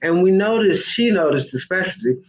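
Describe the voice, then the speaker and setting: A woman's voice: lowish voice, animated, normal speed. Older woman on a muffled, noisy call. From Little Rock, Arkansas, United States. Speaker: guest, education advocate. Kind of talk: conversational storytelling. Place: video call livestream.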